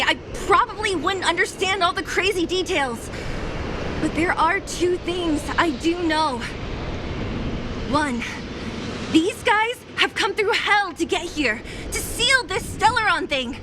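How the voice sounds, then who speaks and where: A woman's voice: very high-pitched, background noise. A woman voicing a brash, defiant young female fighter in a video game, blunt, tough and fiercely loyal. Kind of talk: dramatic video game voice acting.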